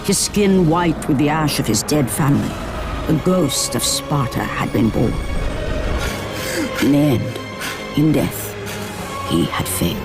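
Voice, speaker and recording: A woman giving dramatic scripted narration of a mythic tragedy in a video game, grave, measured and portentous. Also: low voice, expressive. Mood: solemn. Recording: loud music behind. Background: music.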